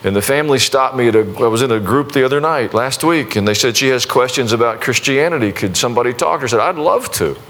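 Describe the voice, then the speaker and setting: A man preaching to his congregation in a conversational, folksy way, with many restarts and a warm self-deprecating humor, then leading a prayer. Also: mid-range voice, lively intonation, fast, hardly any pauses. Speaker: pastor.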